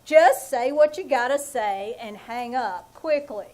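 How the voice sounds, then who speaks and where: A deadpan, long-suffering businessman husband from a 1940s radio comedy, as a woman plays him on a live stage. The voice sits high and delivers every sarcastic wisecrack flatly and dryly. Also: unhurried. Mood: exasperated. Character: dry, put-upon middle-aged businessman husband. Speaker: lead in a radio play.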